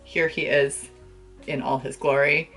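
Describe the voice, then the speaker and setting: A woman's voice: sits low, little pitch movement, a little slow, a bit bright, breathy edge, soft background music, rough recording. A young adult woman chatting casually to camera about books in a gushing, playful, slangy way, recorded in a bedroom. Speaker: book vlogger. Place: small bedroom, indoors.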